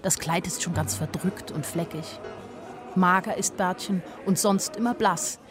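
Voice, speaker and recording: A woman's voice: on the low side, unhurried, crowd noise, natural voice. An actress narrating a radio drama as a watchful young woman, matter-of-fact and observant. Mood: dry, observant. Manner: matter-of-fact, observant, short plain sentences.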